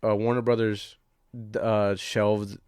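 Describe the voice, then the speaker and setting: Man, low voice, dry close sound, casual podcast banter, sarcastic, sweary. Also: little pitch movement, unhurried, darkish tone, smooth, dry recording. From Dominican heritage. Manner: joking, sarcastic, profane. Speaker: podcast co-host. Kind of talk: casual comedic banter about movie news.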